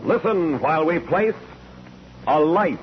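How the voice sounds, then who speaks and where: A man's voice: a little high, animated, a little slow. A man announcing a 1940s radio show in a solemn, portentous, dramatic style. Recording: dull old radio recording under music.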